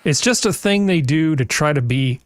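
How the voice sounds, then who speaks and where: A man's voice: on the high side, expressive, moderate pace, dry recording, studio quality. A man in casual conversation as a panel guest, explaining things in a laid-back, folksy way. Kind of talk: casual chat.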